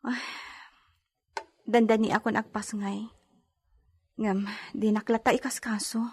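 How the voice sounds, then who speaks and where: Pregnant young lady for radio drama, woman acting: highish husky voice, anxious, Ilocano soap delivery. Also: level tone, measured pace, darkish tone. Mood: weary.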